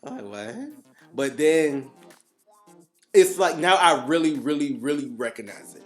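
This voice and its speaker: A man co-hosting a casual chat podcast, animated and quick to laugh, with faint guitar music underneath. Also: fairly high pitch. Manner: animated, laughs often, drawn-out emphasis. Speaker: podcast co-host.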